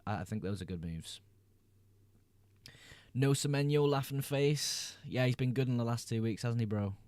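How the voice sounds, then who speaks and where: A man's voice: mid-range voice, normal speed, a little mellow, smooth, no echo. A young man hosting a casual livestream, reading viewers' chat messages aloud and answering them in a laid-back way. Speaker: livestream host.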